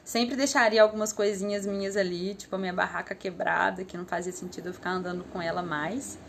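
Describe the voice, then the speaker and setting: A woman's voice: lowish voice, steady tone, fast, vocal fry, fairly bright tone. A young adult woman with a Brazilian accent, telling her story in a reflective, casual way on a live stream, often trailing off with small fillers. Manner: thoughtful, rambling, with frequent "assim" and "né" fillers.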